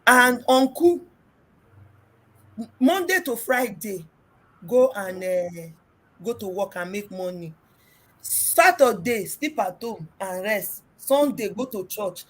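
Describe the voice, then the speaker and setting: A middle-aged woman with a Nigerian accent and a big, loud voice, hosting a casual relationship talk and telling stories emphatically. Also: medium pitch, animated, takes it slowly, pauses often. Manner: emphatic, storytelling, mixes in pidgin. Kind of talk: casual group discussion.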